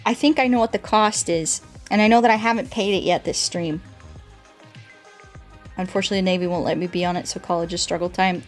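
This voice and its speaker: Chatty, playful woman streaming a game. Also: medium pitch. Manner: playful, rambling, talks to chat. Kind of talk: casual livestream chat while playing a game. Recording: music behind the voice. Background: music.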